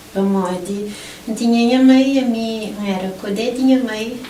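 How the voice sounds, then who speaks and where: A woman's voice: fairly high pitch, warm tone. Woman, middle-aged, from Portugal, interview guest, personal storytelling, roomy sound, distant mic. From Portugal (Lisbon). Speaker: interview guest, motivational event organizer. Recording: roomy, distant mic, faint background noise.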